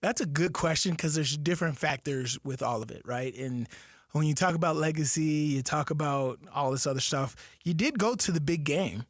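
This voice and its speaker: A man co-hosting a sports talk radio show, talking with excitement and thinking aloud. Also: a little high, moderate pace, no echo, studio quality. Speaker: fill-in co-host.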